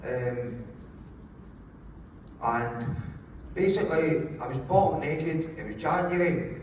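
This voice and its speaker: Gravelly man, animated interview guest, higher-pitched, recorded distantly in a very echoey room. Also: slow pace. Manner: sweary, jokey, apologises for swearing. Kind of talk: animated casual storytelling. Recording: very echoey room, distant mic, faint noise.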